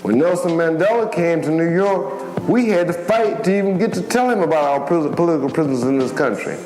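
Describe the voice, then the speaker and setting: Older activist man, echoey room, higher-pitched voice. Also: expressive, a little fast. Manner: emphatic, colloquial, repeats phrases for emphasis. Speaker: political activist and former militant speaking. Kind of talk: impassioned political speech. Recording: echoey room with music behind.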